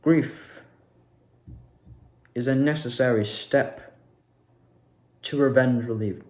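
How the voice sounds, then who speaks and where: A man's voice: mid-range voice, slow, a little mellow, natural voice. A man playing a vengeful, grieving cult leader in a theatrical monologue, with grandiose and emphatic delivery. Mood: urging vengeance. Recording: echoey room.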